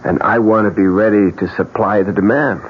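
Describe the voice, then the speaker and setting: An actor playing an eccentric, sardonic painter with a theatrical, mock-courteous manner in a radio drama. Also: male voice, fairly low pitch, steady tone, fairly quick, lightly acted. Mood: gleefully morbid. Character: eccentric, sardonic male painter, cheerfully morbid. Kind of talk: old-time radio drama acting. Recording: muffled, faintly hissy old radio recording.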